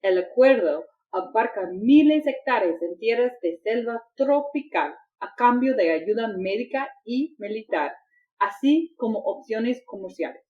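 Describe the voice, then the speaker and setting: A woman playing a news reader, delivering a formal, measured scripted report on a slightly lo-fi recording. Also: a little high, expressive, natural voice. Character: female Latin American news reader, formal. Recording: slightly dull, lo-fi broadcast sound.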